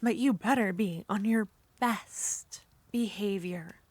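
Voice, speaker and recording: A woman performing an ASMR roleplay as a jealous, possessive witch, speaking softly and often whispering. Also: mid-range voice, slow pace, no echo. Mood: flirty.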